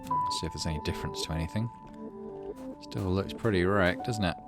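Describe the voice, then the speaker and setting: Male gaming host, deep register, story narration with offhand commentary, music underneath. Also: a little fast. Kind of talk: reading visual novel text aloud with casual gameplay commentary.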